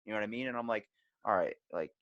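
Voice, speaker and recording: A man's voice: on the low side, quick pace, no echo, highs cut off. A man hosting a podcast in casual chat, telling rambling stories and acting out the dialogue with some swearing. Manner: rambling, animated retelling with acted-out dialogue and profanity. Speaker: podcast host.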